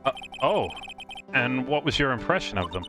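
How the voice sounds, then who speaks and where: A man's voice: mid-range voice, sing-song, normal speed, over music, lightly acted. A man voicing an exasperated, earnest young defense lawyer in a live fan dub of a video game, questioning in a dry, incredulous way. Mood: puzzled.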